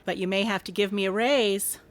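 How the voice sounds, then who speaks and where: A woman's voice: mid-range voice, steady tone, brisk, natural voice. An American woman playing a cheeky, devoted employee in a radio drama, with a playful, teasing way of talking.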